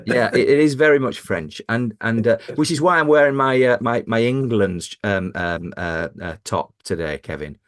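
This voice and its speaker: A man's voice: mid-range voice, normal speed, few pauses. A man co-hosting a podcast, who asks questions in a joking, rambling way full of restarts. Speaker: podcast co-host. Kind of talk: casual interview chat.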